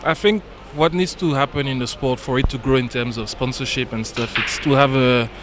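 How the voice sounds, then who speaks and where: A man's voice: medium pitch, steady tone, brisk. Casual male guest from a French island, hesitant fillers, light background noise. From French Caribbean island. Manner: many fillers and repeated yeahs. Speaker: guest in the commentary box. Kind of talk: casual chat.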